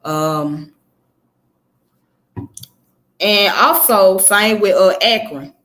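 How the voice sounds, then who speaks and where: A woman's voice: medium pitch, drawn-out words, a bit bright. A woman from Georgia gives informal educational commentary, explaining conversationally and often checking in with the listener. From southern United States, Georgia. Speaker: history presenter.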